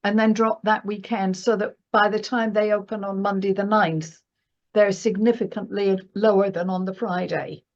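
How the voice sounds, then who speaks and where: A woman's voice: medium pitch, fairly even intonation, moderate pace. A woman speaking as an expert guest in a conversational interview, emphatic and often checking in with the listener. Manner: emphatic, checks in with "right?" and "you know". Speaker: guest astrologer and market analyst. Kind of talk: conversational interview discussion of financial astrology. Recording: dull, lo-fi audio.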